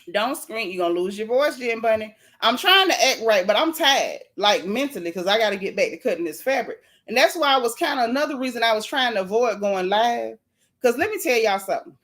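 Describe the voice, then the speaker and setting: A woman hosts a live gossip stream from home, reading out viewer comments and firing back blunt, sassy reactions. She talks casually and animatedly, with a voice that sits slightly high. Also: fairly bright tone. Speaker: livestream gossip host.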